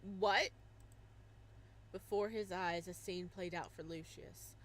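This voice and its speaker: A young woman reading a visual novel aloud, breaking off into laughing, excited reactions between passages. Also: fairly low pitch, slow, slight background noise. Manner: animated, laughing exclamations between narration.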